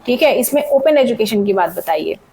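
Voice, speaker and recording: A woman with a sore throat teaching an exam-prep lesson, reading questions out slowly and checking in with students often. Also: fairly high pitch, animated, a little mellow. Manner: frequent "theek hai" checks, reads questions slowly in English. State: sore throat, voice cracks at times. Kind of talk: exam-prep lesson mixing Hindi and English.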